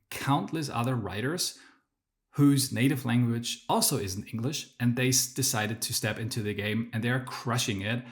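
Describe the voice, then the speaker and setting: A man whose native language is German, telling his story as a podcast guest, with many fillers and restarts. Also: mid-range voice. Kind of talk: casual interview storytelling.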